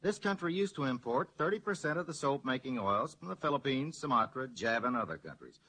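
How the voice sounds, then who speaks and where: Grocer, bright husky animated voice. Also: male voice, medium pitch, fast talker, exaggerated voice. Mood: earnest. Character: patient, explanatory male neighborhood grocer. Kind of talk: 1940s radio advertisement acting.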